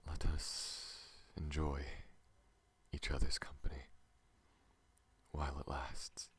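A man voicing a tender, teasing alien in an intimate ASMR roleplay, breathy and husky, often dropping to a whisper, with hesitant pauses. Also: a bass voice, flat delivery, full character voice. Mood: tender, soft. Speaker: voice actor in a roleplay.

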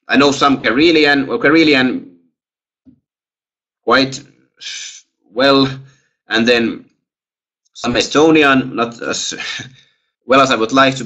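A man's voice: medium pitch, sharp tone, muffled recording. A young man with a Finnish accent, a lecturer answering questions in a webinar over a headset on a video call, hesitant, with many fillers and restarts. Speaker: guest lecturer.

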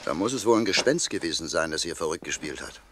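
A man's voice: sits low, lively intonation, quick pace. An actor playing a good-humoured but firm, mock-stern father in a children's radio play, with faint hiss behind.

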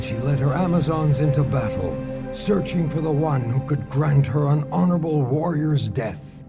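A man acting as the solemn, deliberate narrator of an ancient war epic in a video game, over loud music. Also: mid-range voice. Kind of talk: dramatic scripted narration.

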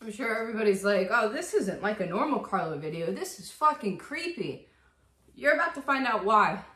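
A woman's voice: medium pitch, sing-song, brisk, sharp tone, echoey room. A woman in her twenties who hosts a video, talking casually and reading storybook-style in a candid, informal manner. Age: 20s. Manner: candid, swears freely, breaks off into asides. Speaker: video host reading a fairy tale aloud. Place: small bedroom, indoors.

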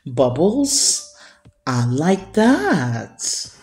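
A woman hosting a children's storytime, reading a picture book aloud in a deliberate, expressive storyteller's delivery. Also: sits low, faint music behind.

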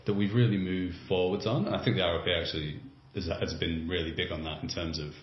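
A man's voice: sits low, steady tone, fast, a little hiss or hum. A man hosting a sports podcast, making long, reasoned points in a conversational way. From Britain or Ireland. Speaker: podcast host and writer. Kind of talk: podcast interview. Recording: roomy, slightly dull remote audio.

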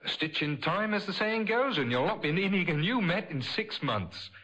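An actor playing a smooth-talking young repairman in old radio drama, deferential but pushy with his sales patter. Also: sits high, very animated, normal speed, pushed and bright, highs cut off, heavily acted. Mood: ingratiating, glib. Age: young adult.

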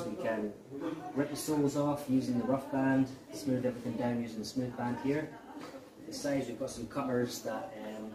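A Scottish man in his thirties, a cobbler chatting casually to viewers from his small shoe repair shop. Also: mid-range voice. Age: 30s. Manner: relaxed, chatty, addresses viewers as guys. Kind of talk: casual workshop vlog.

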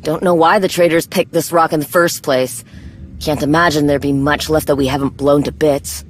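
A woman's voice: low-pitched, fairly even intonation, moderate pace, husky. A woman playing a tough, guarded young warrior in a video game, speaking bluntly and drily. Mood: businesslike, determined. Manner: blunt, dry, no-nonsense. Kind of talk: video game cutscene acting.

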